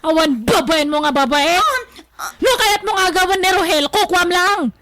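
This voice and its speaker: An actress with an Ilocano Filipino accent playing a young, dutiful but fiery wife in a radio drama. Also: female voice, extremely high pitch, normal speed, background noise. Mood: furious.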